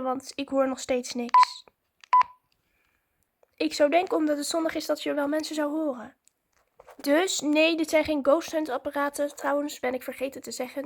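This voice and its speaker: A woman chatting informally to her viewers in a casual vlog, narrating what she is doing as she goes. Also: high voice. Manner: informal, chatty, narrates own actions as they happen. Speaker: vlogger.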